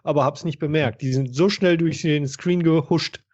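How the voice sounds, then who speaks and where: A man's voice: a little high, moderate pace, darkish tone. A man in a casual podcast discussion of retro video games, talking in an informal, explanatory way. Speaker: podcast panelist. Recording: dull, band-limited sound.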